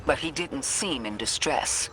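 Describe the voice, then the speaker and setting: An actor playing a skeptical expert adviser in a sci-fi game, speaking precisely and formally. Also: male voice, medium pitch, expressive, a little slow, bright, forceful tone, slight background noise, poor audio. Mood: measured, concerned. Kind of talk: acted game dialogue.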